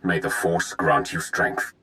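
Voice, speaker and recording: Stern male Sith strategist: low, forceful, measured game dialogue, formal phrasing. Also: steady tone, full character voice. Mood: coolly tactical. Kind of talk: video game dialogue acting.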